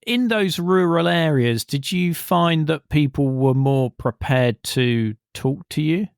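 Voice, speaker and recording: Host asking brief questions, a little higher-pitched. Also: male voice, takes it slowly, creaky, clean tone, no room sound, clean studio sound. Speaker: podcast host. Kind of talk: interview questions.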